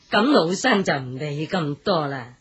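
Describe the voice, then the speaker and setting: An actress playing a dignified, insistent old noblewoman in a period radio drama, speaking Hong Kong Cantonese in a formal, gently commanding way. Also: sits low, breathy edge, full character voice.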